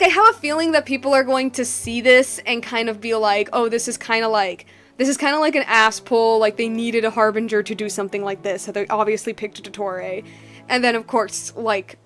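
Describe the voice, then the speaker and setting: A woman giving casual game commentary, thinking aloud in animated, broken bursts. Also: a little high. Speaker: game streamer.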